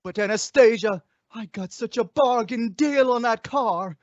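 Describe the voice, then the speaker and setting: A woman's voice: a little high, unhurried, natural voice. Woman acting lovesick wealthy male suitor, beseeching, overwrought, muffled recording, 1950s radio ad.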